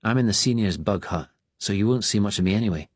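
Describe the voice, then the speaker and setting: A man's voice: fairly low pitch, quick pace, breathy edge, no echo. Cold scottish teen prefect a man puts on, impatient and clipped, dry close voice. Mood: cold, dismissive. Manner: curt, impatient. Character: cold, stony teenage boy prefect.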